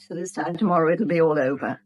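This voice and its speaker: An actress playing an uneasy wife and accomplice in a 1970s radio drama. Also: female voice, deep voice, fairly quick, a little hiss or hum, close to an everyday voice.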